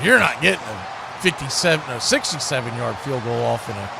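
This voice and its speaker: A man's voice: medium pitch, sing-song, brisk. A man doing live play-by-play football commentary in a conversational way, mixing calls with his own opinions. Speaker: play-by-play announcer for a fan watch-party stream. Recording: clearly noisy live stream audio.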